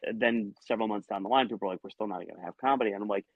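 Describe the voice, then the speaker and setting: A comedian guest chatting on a podcast, a man who talks in animated, rambling bursts and cuts himself off mid-thought. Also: on the low side, racing, slightly rough, no room sound. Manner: animated, rambling, self-interrupting. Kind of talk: casual podcast conversation. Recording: dry muffled lo-fi sound.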